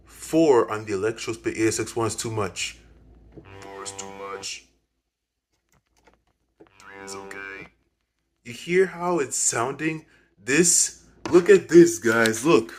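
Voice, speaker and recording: A man giving a casual hands-on music gear demo to viewers, halting as he goes, often repeating and correcting himself. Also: lowish voice, slight background noise. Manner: halting, repetitive, self-correcting. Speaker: video host demonstrating music gear.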